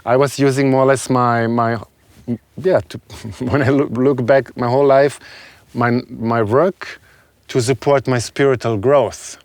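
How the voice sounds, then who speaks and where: A man with a continental European accent, a non-native English speaker, talking reflectively and haltingly as an interview guest. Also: medium pitch, fairly even intonation, no echo. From Serbia, moved to Germany as a child. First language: not English. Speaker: guest, shaman and spiritual healer. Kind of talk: conversational interview talk.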